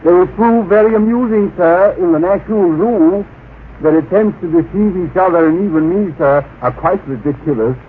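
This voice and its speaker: An actor playing a cold, formal alien officer who politely disdains humans, in old radio drama style. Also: male voice, sits high, normal speed, natural voice. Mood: detached, polite. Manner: formal, deferential, clipped. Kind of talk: 1940s-50s radio drama acting. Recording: tinny old radio broadcast, faint noise.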